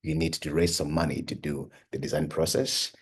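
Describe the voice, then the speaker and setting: A very deep, husky male voice from a young man born in Cameroon. He talks earnestly and conversationally, dropping frequent "you know" and "uh" fillers. His voice stays low, with a rough, breathy edge. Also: flat delivery, moderate pace. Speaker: medical device company founder and PhD researcher. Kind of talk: personal talk for an online course.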